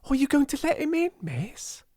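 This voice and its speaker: A British man voicing an excitable, deferential young servant girl in a storybook, plain-spoken and eager. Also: very high voice, moderate pace, no echo, clean studio sound, voice pushed up. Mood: anxious excitement. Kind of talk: storybook character voice.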